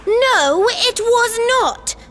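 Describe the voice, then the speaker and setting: Prickly little girl from a video game, high voice, unhurried n haughty, swoops around a lot. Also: expressive, a bit bright, full character voice. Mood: indignant. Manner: deliberate, haughty, indignant phrasing. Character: bossy, prickly little girl, sharp-tongued and clever. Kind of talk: scripted video game cutscene acting.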